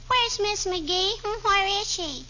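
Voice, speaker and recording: A very high, childish little girl, chattering and asking things over and over in a vintage radio comedy. The sound is muffled and noisy. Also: expressive, takes it slowly, sharp tone, heavily acted. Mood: curious, pestering. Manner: repeats questions, childish "hmm?" and "I bet you". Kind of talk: 1940s radio comedy acting.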